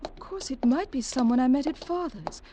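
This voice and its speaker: Rose, breezy high-voiced heiress. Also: female voice, lively intonation, normal speed, dark tone. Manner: airy, nonchalant, polished. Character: Rose Cullen, breezy self-assured rich young Englishwoman. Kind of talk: 1940s radio drama acting. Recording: noisy old radio recording.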